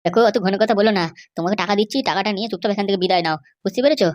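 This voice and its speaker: A woman's voice: medium pitch, very fast, natural voice. A woman voicing an irritable, anxious young groom-to-be in a comedy cartoon, scolding in an exasperated way.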